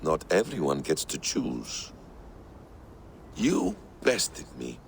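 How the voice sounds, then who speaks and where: A man playing a calm, menacing villain in a game cutscene, gloating in slow, deliberate phrases. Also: extremely low pitch, creaky, a little hiss or hum, exaggerated voice. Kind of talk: dramatic video game cutscene acting.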